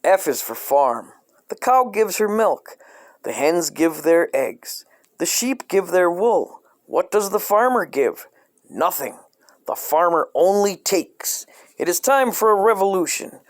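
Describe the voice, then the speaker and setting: A man reading a comedic parody children's book aloud in a deadpan, scripted storyteller style. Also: high-pitched, unhurried.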